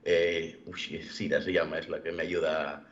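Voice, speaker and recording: A young man giving a personal testimony in an online interview, speaking haltingly and repeating words as he rephrases. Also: rumbling low, flat delivery. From born in the United States, living in Galicia, Spain. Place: video call. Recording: dull, lo-fi online call audio.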